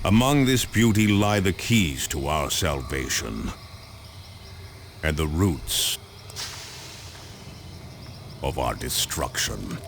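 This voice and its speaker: An actor playing a grave, stern space marine commander in a video game, giving a measured, portentous dramatic briefing. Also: male voice, rumbling low, animated, background noise, full character voice. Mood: solemn, portentous. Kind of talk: dramatic scripted briefing narration.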